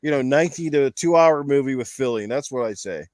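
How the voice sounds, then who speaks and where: A man chatting casually as host of a panel show on games and movies, animated and opinionated, with some swearing. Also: mid-range voice, fast talker, no echo, clean studio sound.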